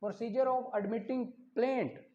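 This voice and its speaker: A man with a Pakistani accent teaching an online law class, reading English questions slowly, explaining them in Urdu and calling on students by name. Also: very high-pitched, steady tone, mellow, dull audio. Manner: calls on students by name, brisk and encouraging.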